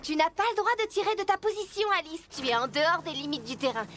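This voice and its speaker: A woman's voice: sits high, animated, fast talker, very noisy. A woman voicing an upbeat, teasing and encouraging truck coach in a children's cartoon dub, peppy and instructive. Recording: loud music behind the voice. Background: loud music.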